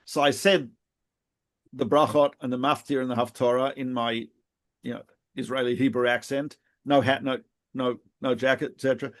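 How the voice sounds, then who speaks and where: A middle-aged man who grew up in Australia, co-hosting a podcast and telling lively anecdotes in a casual conversation. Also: medium pitch, moderate pace. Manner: animated storyteller, laughs at his own anecdotes. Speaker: podcast co-host, rabbi.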